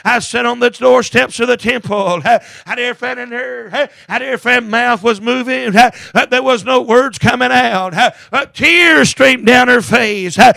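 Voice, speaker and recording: A male preacher with a very high, piercing and forceful voice, strained and full of gravel. He delivers a fervent revival sermon in short chanted bursts, each punctuated by a gasped "huh". The sound is dry and close. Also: dry recording. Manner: punctuates phrases with gasped "huh" and repeated "dear friend".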